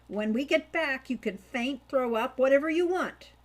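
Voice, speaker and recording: A woman voicing a bold, impatient sixteen-year-old boy in an audiobook, with a firm, brisk delivery. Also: sits high, expressive, pitched above the performer's own voice. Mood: firm, urgent. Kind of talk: audiobook character voice.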